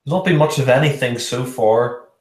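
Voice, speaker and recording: A man mocks a movie in casual fan commentary, swearing and stumbling over repeated words. His voice is mid-pitched. The recording has a roomy echo. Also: normal speed.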